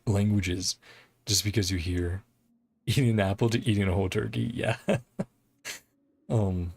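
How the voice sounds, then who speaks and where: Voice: sits low, steady tone, measured pace, vocal fry, darkish tone, breathy edge. A game streamer giving casual, rambling live commentary in a chatty way, often chuckling. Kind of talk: casual livestream gameplay commentary. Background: game music.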